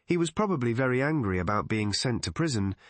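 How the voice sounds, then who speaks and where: Male audiobook narrator, mid-pitched, for scripted mystery fiction, recorded dry and close. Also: very animated, fast talker, dry recording.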